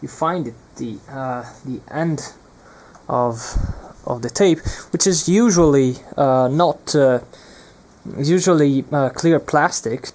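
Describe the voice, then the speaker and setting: A man's voice: medium pitch, slow pace, a little mellow. A young man presenting an unscripted hands-on electronics demonstration, speaking hesitantly and searching for his words.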